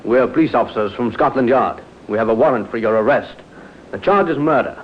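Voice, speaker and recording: An actor playing a dutiful, matter-of-fact police sergeant, clipped and respectful, in old radio drama style. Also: male voice, medium pitch, expressive, brisk, close to an everyday voice. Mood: official. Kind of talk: 1950s radio drama acting. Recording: echoey, muffled old radio recording.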